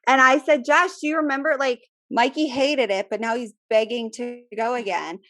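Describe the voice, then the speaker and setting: A woman's voice: a little high, moderate pace, fairly bright tone, no echo, studio quality. A woman in her thirties with an American Midwest accent, co-hosting a parenting podcast in a casual, blunt, informal way. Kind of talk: casual chat.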